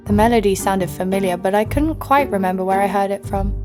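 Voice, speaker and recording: A woman acts out a brash young female adventurer for an audio drama, telling her own exploits. She is sarcastic and blunt, with a mid-pitched voice and music playing underneath. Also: brisk, natural voice. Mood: wry storytelling. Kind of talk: first-person audio drama narration.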